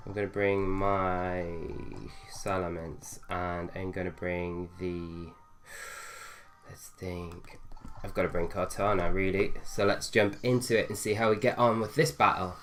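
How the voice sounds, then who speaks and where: Low-voiced man with a cold. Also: flat delivery, a little slow, a bit bright, soft background music. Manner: thinks aloud, hesitant with fillers. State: nasal from a cold. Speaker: gaming video host. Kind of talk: casual gameplay commentary.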